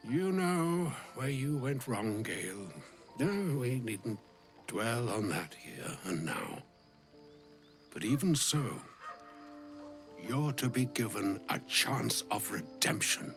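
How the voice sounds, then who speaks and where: An actor playing an ancient, pompous, grumbling old wizard in a video game, with ornate archaic speech. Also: male voice, medium pitch, big pitch swings, takes it slowly, soft background music. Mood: hesitant, grave. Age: older. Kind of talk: video game character acting.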